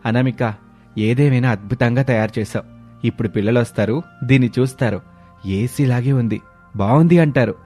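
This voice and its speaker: A man's voice: mid-range voice, normal speed, pauses often, dark tone, faint music behind, natural voice. A man voicing a worried young husband and father in a dramatised story, fretful and questioning. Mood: impressed, doubtful.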